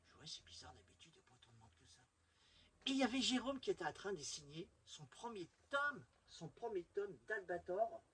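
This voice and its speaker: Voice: mid-range voice, sing-song, fairly quick, fairly bright tone, a little hiss or hum. A YouTube host casually showing off a collection in a chatty, rambling, enthusiastic fan's way. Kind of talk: casual collection show-and-tell.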